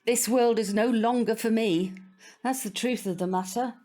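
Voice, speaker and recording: Radio play: actress as proper British grandmother, set in her ways, fairly high voice, music underneath. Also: normal speed, warm tone, natural voice. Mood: wistful, resigned. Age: older. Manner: prim, proper, hesitant around awkward subjects. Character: prim, old-fashioned grandmother, opinionated and wistful. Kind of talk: audio drama acting.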